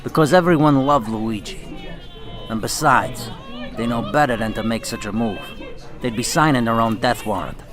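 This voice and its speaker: An actor playing a shrewd, proud Italian-American mafia boss in a radio drama, talking in a smooth, wry, streetwise way. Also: male voice, medium pitch, normal speed, crowd noise, lightly acted. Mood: indignant. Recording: music behind the voice.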